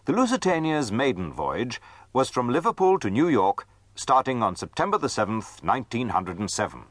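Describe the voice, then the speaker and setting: Guy narrating a history drama, lowish voice, very lively delivery, close dry sound. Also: normal speed, no room sound. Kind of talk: scripted documentary drama narration.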